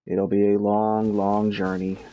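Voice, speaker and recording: A man giving casual, halting live commentary as he streams a game, with a dry, grumbling manner. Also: on the low side, monotone, dark tone, muffled recording, a little hiss or hum.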